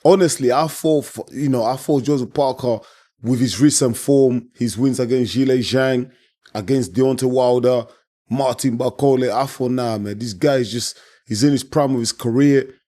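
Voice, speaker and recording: A man whose voice is hoarse and worn out, speaking in a mellow, warm tone. He talks casually and with passion, repeating himself and leaning on friendly filler words as he reacts on his own channel. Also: medium pitch, normal speed. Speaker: boxing channel host. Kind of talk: casual post-fight reaction monologue.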